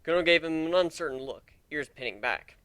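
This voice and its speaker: A man's voice: a little high, animated, a little fast, sharp tone, no room sound. A man reading a story aloud as narrator, in a dramatic audiobook style. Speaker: narrator of a fan fiction reading.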